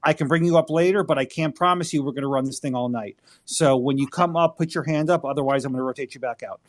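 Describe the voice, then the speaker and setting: A man's voice: medium pitch, fast. A man hosting a live audio chat, rambling and joking as he gives listeners instructions. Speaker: host.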